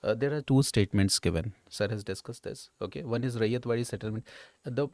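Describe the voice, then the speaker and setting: Male educator, Indian accent, mid-pitched voice, didactic, conversational question analysis, frequent check words, close dry recording. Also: a little fast, a little mellow, dry recording. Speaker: exam coaching educator.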